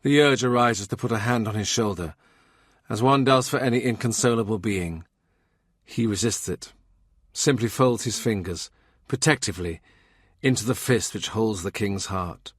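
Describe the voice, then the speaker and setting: Measured male audiobook narrator, dry sound. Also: lowish voice, dry recording. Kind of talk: scripted literary narration of a historical novel.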